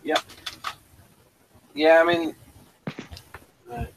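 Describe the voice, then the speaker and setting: A man co-hosting a casual chat, chiming in with quick, brief remarks. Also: fairly high pitch, vocal fry, muffled recording, slight background noise. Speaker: podcast co-host.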